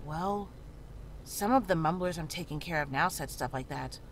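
A woman acting as a calm female healer in a horror game, with a lowish voice. She is measured and matter-of-fact, frank but reassuring. There is clear noise and ambience behind her. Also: rough recording. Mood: serious, confiding. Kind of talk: visual novel character dialogue.

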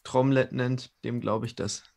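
A man's voice: mid-range voice, little pitch movement, a little slow, creaky, darkish tone. A man playing a tabletop roleplaying game, speaking in character in a hesitant, questioning manner. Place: indoor home, video call.

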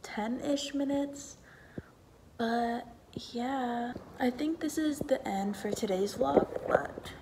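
Young woman vlogger, bubbly and chatty, slightly high voice, phone-mic sound with faint background noise. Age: young adult. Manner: bubbly, chatty, lots of fillers, laughs often. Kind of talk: casual vlog chat. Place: small bedroom, then parked car. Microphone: phone camera at arm's length.

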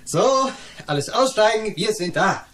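An actor playing a calm, reassuring father on a family holiday in a 1980s German radio play. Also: high-pitched, unhurried, very noisy. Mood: calling out.

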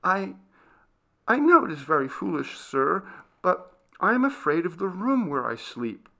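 Man voicing a timid, pleading young servant girl, pitched up. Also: very animated, measured pace, vocal fry, bright, forceful tone, exaggerated voice. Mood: earnest, pleading. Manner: faltering, pleading, deferential. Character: frightened, earnest young servant girl, meek and tearful.

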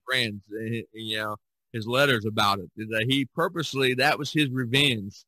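Bright-voiced man chatting in a dry room. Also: mid-range voice, no room sound, poor audio. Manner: halting, repetitive storyteller. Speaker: podcast co-host. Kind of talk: casual art discussion.

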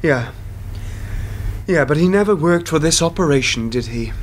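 A man's voice: on the high side, lively intonation, normal speed, close to an everyday voice. Actor playing a wry lonely man, noisy recording. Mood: wry, resentful. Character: lonely, bitter man used as a covert mouthpiece. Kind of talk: radio play dialogue.

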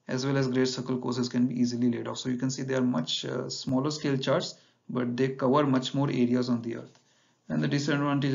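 A man teaching navigation over slides in a measured lecture, often pausing with fillers. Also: medium pitch, creaky, darkish tone. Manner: measured, explanatory, with frequent fillers and restarts. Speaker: navigation instructor. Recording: dull lo-fi voice-over.

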